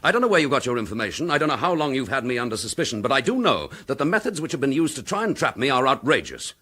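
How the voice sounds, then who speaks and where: An actor playing an accused chief inspector, dogged and defiant, speaking in a firm, measured, indignant manner in a radio drama. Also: male voice, medium pitch, sing-song, fairly bright tone, slightly rough. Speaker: police detective.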